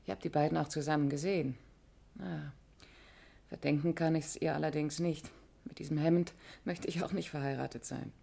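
Low, creaky, chatty shop assistant. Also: female voice, fairly even intonation, brisk, mellow, full character voice. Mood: gossipy, confiding. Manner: gossipy, confiding. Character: chatty, frank female pharmacy assistant. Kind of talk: 1960s German radio crime drama acting.

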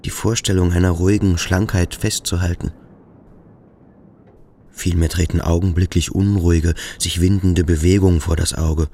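Low-voiced brooding schoolboy. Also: male voice, a little mellow, slight background noise. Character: brooding male boarding-school pupil, uneasy, coolly scrutinizing his companion. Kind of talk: literary radio play inner monologue. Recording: studio radio play with music behind.

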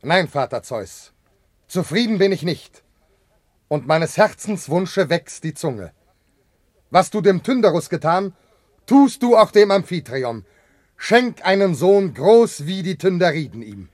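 An actor playing an anguished, proud betrayed husband and general in a classical verse radio drama, impassioned and declamatory. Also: male voice, high-pitched, very animated, unhurried, dry recording, clean studio sound. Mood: earnest.

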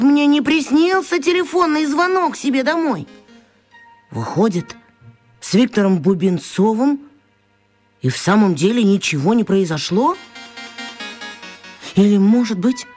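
A bewildered schoolboy thinks aloud in a children's radio drama. He sounds suspicious and grumbling, pondering one question after another. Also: fairly high pitch. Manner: pondering, grumbling inner monologue. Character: bewildered, suspicious man trapped in a schoolboy's body. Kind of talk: children's radio play acting.

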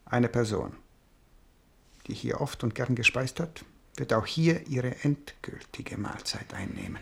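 A suave courtly plotter for a radio play. His voice is raspy and full of fry, at a middling male pitch. He savours his phrases like a connoisseur, with cold insinuation underneath. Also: measured pace. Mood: coldly scheming. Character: smooth scheming Italian envoy, art connoisseur, coldly plotting. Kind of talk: German radio drama acting.

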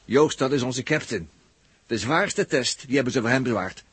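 An excitable spaceship crewman, with a very husky voice in a dry, close sound. He broods and stammers as he searches for words, acted in 1960s radio-drama style. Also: medium pitch, normal speed, no room sound. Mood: urgent, explaining.

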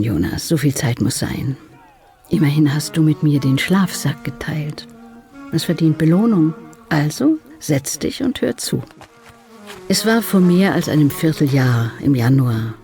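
A woman playing a cool, mocking traitor in a radio play, speaking in a condescending, deliberate, formal way. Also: low voice, mellow. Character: cool, mocking female traitor toying with her captive.

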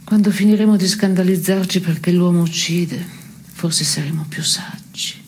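Actress doing dry cynical woman, lots of vocal fry, deadpan short theatre lines, slight background noise. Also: lowish voice, moderate pace, natural voice. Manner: deadpan, terse. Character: cynical, dry, pragmatic woman puncturing musings. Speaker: stage actor and playwright. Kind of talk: theatrical dialogue, absurdist radio theatre.

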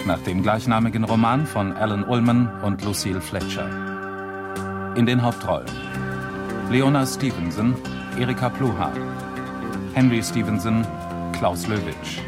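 A low-voiced man reads scripted radio drama credits as an announcer. He is formal and very unhurried, with prominent guitar music behind him. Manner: measured, formal.

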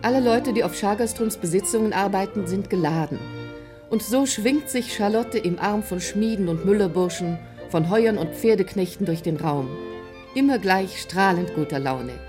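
A woman's voice: mid-range voice, moderate pace. A woman narrator reading scripted literary narration for a drama. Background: music.

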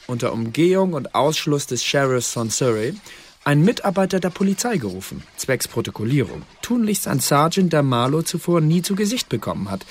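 A man's voice: on the high side, slight background noise. An actor playing an officious male secret-service bureaucrat, dictating reports formally and precisely in a radio drama.

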